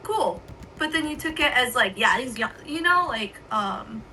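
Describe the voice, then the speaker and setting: A woman in a casual podcast chat, offering thoughtful, gentle advice. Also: a little high, lively intonation, normal speed, slight background noise. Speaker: podcast guest.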